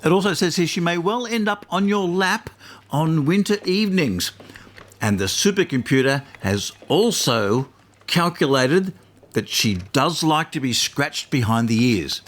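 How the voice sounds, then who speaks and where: Man playing a high-voiced, swooping bluffing astrologer. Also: lively intonation, measured pace, a bit bright. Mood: bluffing, smooth. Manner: hesitant, improvising, stammering salesman patter. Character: bluffing male phone astrologer, smugly mystical con man. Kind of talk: comedy podcast sketch.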